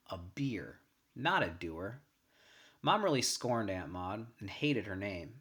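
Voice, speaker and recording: A man's voice: lowish voice, bright, forceful tone. Man reading a short story aloud. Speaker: story reader. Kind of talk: scripted narration of a short story, first person.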